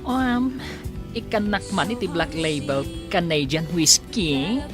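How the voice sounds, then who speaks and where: A woman's voice: lowish voice, lively intonation, slow pace, music in the background. Woman putting on a campy, swooping, flirtatious Ilocano character. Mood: coy. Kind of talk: Ilocano radio comedy drama acting.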